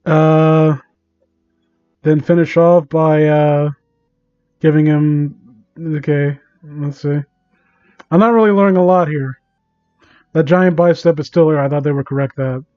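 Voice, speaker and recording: A man doing a casual livestream reading and commentary, exasperated, sarcastic and sweary, with a halting delivery. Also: fairly high pitch, fairly even intonation, highs cut off. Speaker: live streamer reading and mocking online fan fiction.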